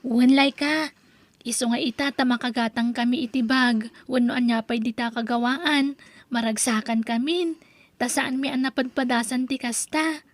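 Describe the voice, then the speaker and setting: A woman acting a humble, grateful young student from a poor family in an Ilocano radio drama, earnest and gentle, with an Ilocano accent. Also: fairly high pitch, steady tone, moderate pace, no room sound.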